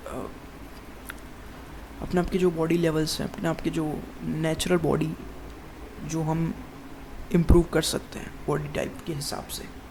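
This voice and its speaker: A man's voice: a little high, little pitch movement, measured pace, long pauses, noisy background. A man of twenty-one giving a casual, rambling monologue as a fitness vlogger, calling the viewer "bro" over and over and restarting his sentences. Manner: rambling, addresses viewer as "bhai" constantly, many restarts.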